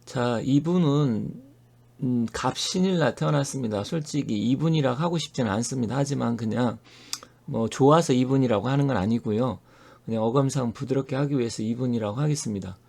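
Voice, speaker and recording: Gravelly, mellow-voiced man lecturing earnestly. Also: medium pitch. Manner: earnest, opinionated, explanatory, with frequent pauses and fillers. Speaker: fortune-telling channel host. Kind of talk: solo monologue to camera.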